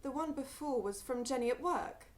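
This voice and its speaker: A young British actress playing a brisk, practical grown-up daughter, touchy but dutiful, in a radio play. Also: female voice, high-pitched, natural voice. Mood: matter-of-fact. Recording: echoey room, faint noise.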